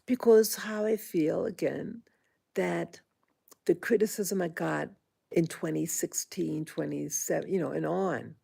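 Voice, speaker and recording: A woman in her sixties talking into her own vlog, halting and indignant, with frequent restarts and fillers. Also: on the low side, gravelly, warm tone, slightly rough. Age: 60s. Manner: aggrieved, indignant. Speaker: vlogger. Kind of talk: personal monologue vlog.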